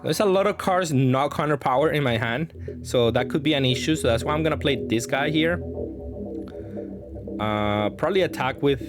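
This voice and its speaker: A man's voice: mid-range voice, animated, normal speed. Man thinking aloud over music. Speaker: card game player presenting match commentary. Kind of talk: casual gameplay commentary.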